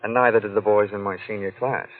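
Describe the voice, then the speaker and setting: An actor playing a wisecracking hard-boiled American detective in a 1940s radio drama, with a wry, flippant, deadpan delivery. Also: male voice, lowish voice, fast talker, dry recording, natural voice. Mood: flirtatious, wry. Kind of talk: 1940s radio detective acting with first-person narration. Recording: muffled 1940s radio recording, faint music.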